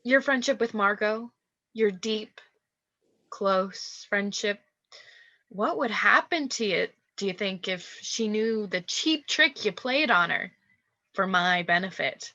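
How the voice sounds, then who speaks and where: A woman's voice: medium pitch, slow pace, sharp tone. A woman acting in a radio play as a scheming young actress, falsely meek and coolly blackmailing. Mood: coolly menacing. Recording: dull, lo-fi voice track.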